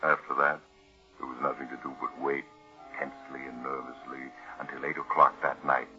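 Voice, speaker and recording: An extremely deep yet sharp, forceful voice on a muffled vintage radio recording: a sinister storyteller. He narrates in a measured, ominous 1940s suspense style over music. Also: flat delivery, exaggerated voice. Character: sinister mysterious male storyteller of suspense tales.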